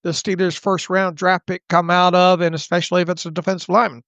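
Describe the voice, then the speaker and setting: A man from the southern United States with a Southern accent, co-hosting a sports podcast in casual, halting speech that stumbles and repeats words. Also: sits high, level tone, fairly bright tone, dry recording, dull audio.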